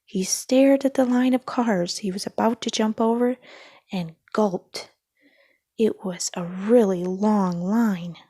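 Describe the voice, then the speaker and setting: A woman's voice: mid-range voice, slow pace, dark tone, husky, no room sound, studio quality. A woman reading a children's storybook aloud as a scripted narration.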